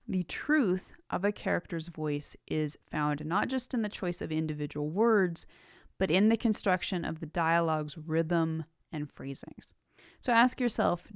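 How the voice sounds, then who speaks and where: A woman hosting an instructional podcast, reading a prepared script in an articulate, measured way with emphasis on key words. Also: fairly low pitch, no room sound, clean studio sound. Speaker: podcast host and writing teacher.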